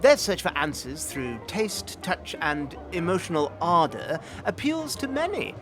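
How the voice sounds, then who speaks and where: Someone acting a bookish old fantasy scholar guy, nervous n polite, pitch jumps all over, music underneath. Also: very animated, measured pace. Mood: earnest, lecturing. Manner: hesitant, courteous, pedantic, formal phrasing. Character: fussy, bookish, nervous older male faction scholar. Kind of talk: fantasy audio drama dialogue. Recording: music behind the voice.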